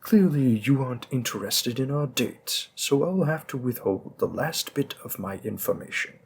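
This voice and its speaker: A man playing a gravelly flirty mafia boss. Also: mid-range voice, lively intonation. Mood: teasing. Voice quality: gravelly, husky. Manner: teasing, slow and seductive, dropping into whispers. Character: flirty smooth-talking male mafia boss. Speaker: audio roleplay performer. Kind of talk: audio roleplay acting.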